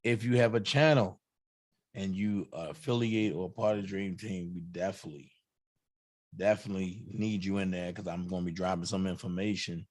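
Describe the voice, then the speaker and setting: A hoarse man hosting a livestream, chatting casually and hyping up shout-outs to fellow channels. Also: low-pitched, steady tone, normal speed, dry recording. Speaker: livestream host.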